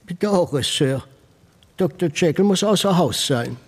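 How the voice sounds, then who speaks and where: An actor playing a formal, discreet household butler in a German radio play, deferential and guarded, with a husky voice. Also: male voice, fairly high pitch, expressive, measured pace. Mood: polite, guarded.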